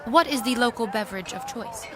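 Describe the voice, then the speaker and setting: A woman's voice: a little high, a little fast, a crowd in the background, close to an everyday voice. A woman playing an otherworldly visitor who speaks in a formal, over-precise, stilted way in an audio drama. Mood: stiff, curious. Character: stiff, literal, otherworldly female visitor studying human customs.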